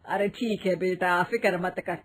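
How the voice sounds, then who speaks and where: A woman's voice: lowish voice, fairly even intonation, unhurried. A woman voicing a caring but scolding older mother-in-law in a homely, exclaiming manner. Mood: kindly.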